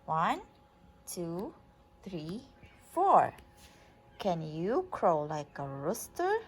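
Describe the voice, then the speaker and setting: A woman's voice: fairly low pitch, huge pitch swings, very slow, slight background noise. A woman reading a children's book aloud as a mother to a young child, in a sing-song, coaxing way with simple questions. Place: at home.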